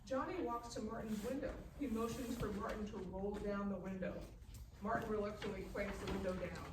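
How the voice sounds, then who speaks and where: A woman's voice: mid-range voice, fairly quick. A woman reading screenplay action lines as narrator at a live table read. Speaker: stage-direction narrator. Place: live reading in a festival room. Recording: echoey room, distant mic, faint noise.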